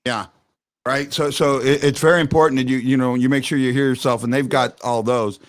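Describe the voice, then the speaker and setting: A man hosting a fan talk show, loud and excitable, rambling and restarting his sentences as he argues his point. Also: mid-range voice, fairly quick. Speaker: podcast host. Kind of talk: heated hockey fan call-in talk. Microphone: headphones.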